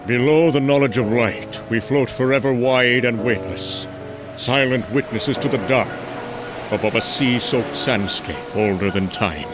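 A man's voice: on the low side, close to an everyday voice. A man playing a proud, regal undersea king in a superhero comic drama, solemn and lofty in manner. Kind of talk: dramatic comic-book voice acting. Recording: loud music under the voice.

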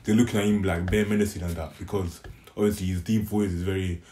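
A young man with a London accent giving casual, excitable, slangy reaction commentary as an anime host. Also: low-pitched, fast talker, a little hiss or hum. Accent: London, British. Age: young adult. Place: indoors on a couch in a room.

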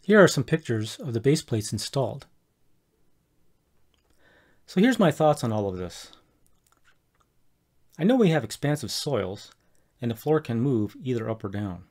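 Middle-aged man, mid pitch, measured scripted narration for a home-improvement tutorial. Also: sing-song, a little mellow. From Colorado, USA. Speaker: homeowner explaining a DIY project.

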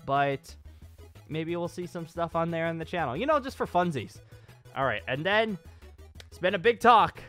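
A man's voice: on the high side, lively intonation, moderate pace, pauses often, a bit bright. A man in his twenties chatting casually and informally in a rambling channel update, as a gaming YouTuber. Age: 20s. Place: small home studio room with acoustic foam. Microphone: desk microphone on boom arm. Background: clear background music.

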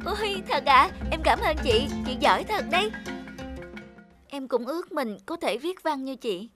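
A woman voicing an earnest, emotional schoolgirl who dreams of writing, in an animated cartoon. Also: high-pitched, normal speed, over music. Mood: admiring, eager.

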